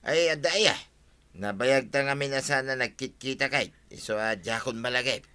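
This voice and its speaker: A man's voice: mid-range voice, normal speed, pushed and bright, clear, resonant tone, natural voice. A man with an Ilocano accent playing a chummy, boastful village husband in a Filipino radio comedy drama. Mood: jovial.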